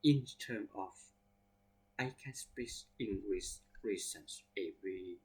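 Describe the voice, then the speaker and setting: A man with a Thai accent, a native Thai speaker, teaching English vocabulary by reading example sentences slowly word by word and spelling out abbreviations letter by letter. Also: on the low side, a little mellow, slightly rough, rough recording. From Thailand.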